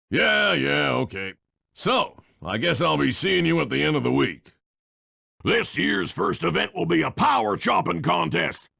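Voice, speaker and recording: A man playing a burly, boastful bison lumber baron villain in a video game, with a folksy, menacing rural American frontier drawl and a gravelly voice. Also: medium pitch, sharp tone, full character voice. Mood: folksy, businesslike, then gloating, scornful.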